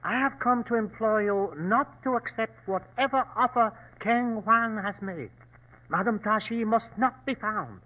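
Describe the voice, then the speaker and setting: A man's voice: sits high, measured pace, sharp tone. An actor playing an earnest, humble elderly Chinese man, formal and pleading, in old-time radio drama style. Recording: dull vintage radio recording.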